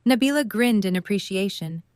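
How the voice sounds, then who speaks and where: A woman's voice: medium pitch, expressive, a little fast, noisy background. A woman reading scripted third-person story narration for an audio drama.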